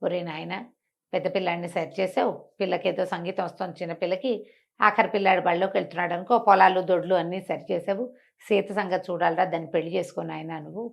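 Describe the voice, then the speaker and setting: A woman's voice: lowish voice, steady tone, quick pace, vocal fry, voice pushed down. A woman storyteller voicing a grateful village mother who pleads and coaxes insistently, with affectionate forms of address. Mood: pleading.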